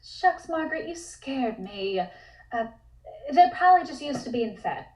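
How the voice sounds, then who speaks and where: A woman's voice: high-pitched, sing-song, a little slow, darkish tone, roomy sound, poor audio. A woman reading the part of a curious, forthright young painter in a comic script table read. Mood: startled.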